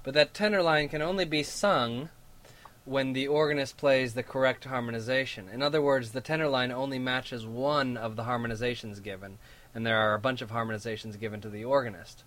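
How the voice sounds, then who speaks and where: A man with a higher voice explains how a sung line fits the organ part, in a plain teaching manner with a few fillers and restarts. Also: fairly even intonation, fairly quick, fairly bright tone. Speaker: church singer demonstrating a psalm setting. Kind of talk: explaining music between sung demonstrations. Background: organ music.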